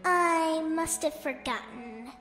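A woman's voice: very high voice, slow, fairly bright tone, soft background music, heavily acted. A woman voicing a young, needling unseen speaker in a cartoon dub. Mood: mocking, needling. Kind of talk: cartoon comic dub acting. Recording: echoing hall reverb.

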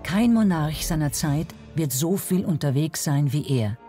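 Mellow female documentary narrator. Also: low voice, expressive, a little slow. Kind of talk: scripted narration. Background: faint music.

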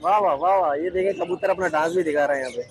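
A man's voice: a little high, rapid-fire, slight background noise. Young man vlogging through a bird market. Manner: chatty, asks sellers prices. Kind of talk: casual walking vlog. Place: crowded outdoor bird market. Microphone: handheld phone at arm's length.

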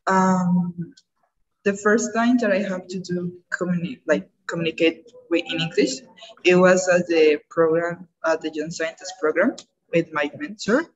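A woman's voice: fairly low pitch, unhurried, slightly rough, dull audio. A young woman answering as a guest on an online webinar, earnest and plainly phrased, over an earphone mic. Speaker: guest speaker. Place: indoor room, video call. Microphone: earphone mic on video call.

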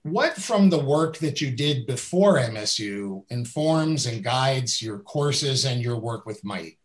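A man's voice: mid-range voice, expressive, slow. A middle-aged man hosting a video-call interview, reading a guest introduction in an upbeat, admiring way. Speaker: interview host. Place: indoors with a virtual background.

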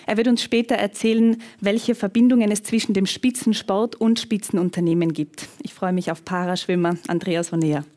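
A woman in her thirties with a Tyrolean Austrian accent, hosting an event on stage in a lively, chatty, self-joking way. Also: mid-range voice. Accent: Tyrolean Austrian German. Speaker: event host, TV presenter. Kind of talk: event moderation. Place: conference hall on a stage.

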